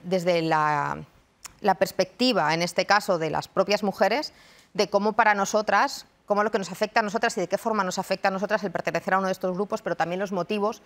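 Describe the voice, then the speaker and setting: A woman from Madrid, a university researcher and lecturer in her thirties, giving measured, reflective answers in a TV studio interview. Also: medium pitch. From Madrid, Spain. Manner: thoughtful, measured, frequent restarts. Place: television studio.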